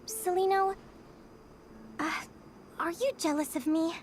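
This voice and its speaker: A high-voiced, earnest young changeling girl. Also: fairly even intonation, takes it slowly, slight background noise. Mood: earnest questioning. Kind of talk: video game voice acting.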